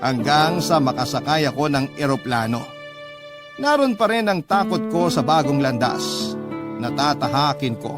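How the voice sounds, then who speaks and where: A man narrating a letter as a radio love-story storyteller, in a measured, sentimental, dramatic way. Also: medium pitch, very animated, loud music behind the voice.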